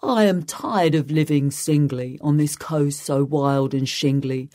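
A woman's voice: low voice, unhurried, warm tone. A woman voicing a lonely, small nonsense creature from a storybook poem, earnest and pleading.

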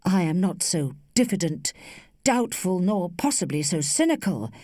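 A woman voicing an earnest young gentleman suitor in a storybook, formal and reproachful with boyish bitterness. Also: lowish voice, sing-song, a little slow, dry recording, clean studio sound.

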